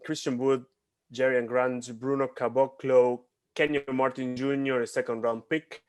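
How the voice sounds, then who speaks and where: Animated Argentinian-born podcast co-host. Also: male voice, mid-range voice, normal speed, clean tone, no room sound. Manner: animated, repeats himself emphatically. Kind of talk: casual basketball chat. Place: home room, video call. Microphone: headset.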